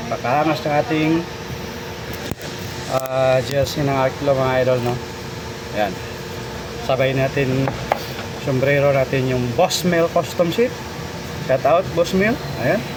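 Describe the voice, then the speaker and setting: Filipino man vlogging over clear background noise. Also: medium pitch, takes it slowly, pauses often. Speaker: motorcycle vlogger. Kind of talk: casual vlog chat to followers. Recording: noisy vlog audio with traffic ambience.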